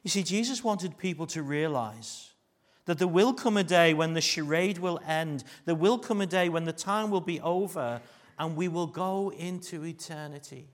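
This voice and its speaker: A middle-aged man with a Liverpool accent preaching a sermon, mixing jokey asides with earnest warnings that sink to a hush. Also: a little high, normal speed. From Liverpool, England.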